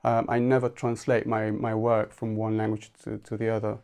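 Gravelly man, hesitant. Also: lowish voice, monotone, moderate pace. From Argentina. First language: Spanish. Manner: soft-spoken, hesitant, many restarts. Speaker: poet guest. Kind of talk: podcast interview.

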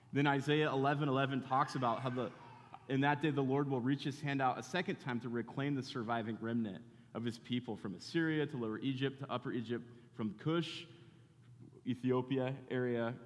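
A man preaching in a casual, conversational way, full of fillers and restarts. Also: medium pitch. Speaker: pastor. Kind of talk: sermon with casual interview. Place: church in Phoenix, Arizona. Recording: long reverb of a church hall.

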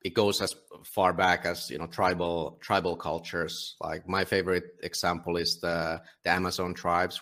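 A Finnish man, a publisher and guest, talking casually in a conversational interview, often halting and restarting his sentences. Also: deep voice, a bit bright. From Finland. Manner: halting, many restarts and fillers.

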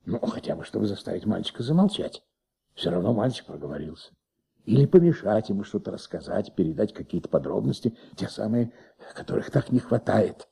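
An actor playing an ailing, insistent middle-aged police commissioner in a radio play, gravelly and halting, repeating himself as he thinks aloud. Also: on the low side, big pitch swings, dark tone, exaggerated voice. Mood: pondering, restless. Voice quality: gravelly, husky. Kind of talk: Soviet radio play acting. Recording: muffled old radio recording.

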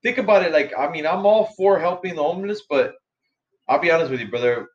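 A man's voice: on the high side, quick pace. A man in his forties, a podcast co-host talking bluntly with heavy swearing, calling his friend bro. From Los Angeles area. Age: 40s. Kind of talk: casual chat. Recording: dull lo-fi sound.

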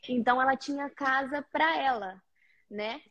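A woman's voice: high-pitched, normal speed, fairly bright tone, muffled recording. A woman from Paraná, Brazil, a guest on a video-call interview, friendly and slightly nervous, with many fillers. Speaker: interview guest, house cleaner. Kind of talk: casual interview.

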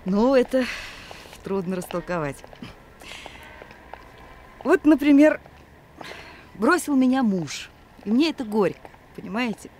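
A woman playing a wistful, self-reproaching stage actress in a radio drama, expressive and theatrical in her delivery. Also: fairly high pitch, unhurried, long pauses. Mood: earnest, expressive. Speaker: actor. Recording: noisy old radio play recording.

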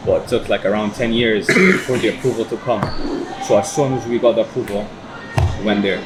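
Young guy, 19, chill and repeaty, talks kinda low, noisy room. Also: fairly quick. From Iraq, raised in Canada. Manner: easygoing, repeats himself, says "bro". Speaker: guest. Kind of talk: casual interview chat. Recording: noisy, air conditioning hum.

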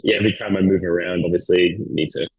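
A man's voice: low voice, fairly even intonation, fast talker, poor audio. A man in his thirties with an Australian accent, chatting easily as a guest and laughing often. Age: 30s. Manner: easygoing, laughs often, restarts his sentences. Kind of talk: casual chat.

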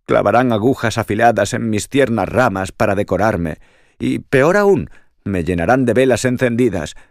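A man's voice: fairly low pitch, expressive, moderate pace, dry recording, studio quality, voice pushed up. A man voicing a young, fearful little fir tree in a storybook, fretting and lamenting dramatically. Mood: anxious, fearful.

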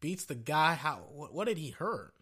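Brash high-voiced sports podcast guy, sore throat. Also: moderate pace, breathy edge. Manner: brash and excitable, hypes with "ladies and gentlemen". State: sore throat, losing his voice. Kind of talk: casual sports podcast talk.

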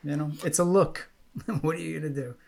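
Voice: medium pitch, fairly even intonation, fairly quick, creaky. A co-host chatting easily and agreeing often. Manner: agreeable, many backchannels. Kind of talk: casual chat.

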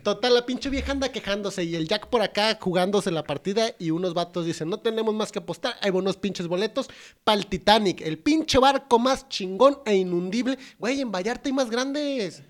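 A man's voice: sits high, moderate pace. A young Mexican man recapping a film in casual, profane, mocking banter, acting out characters' lines. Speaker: podcast co-host. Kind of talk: casual comedic movie recap.

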